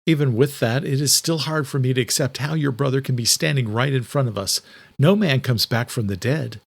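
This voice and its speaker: A skeptical male police investigator, acted by a male narrator in an audiobook. He speaks at a medium pitch with a matter-of-fact, probing manner and an air of authority. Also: a little fast, vocal fry. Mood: doubtful.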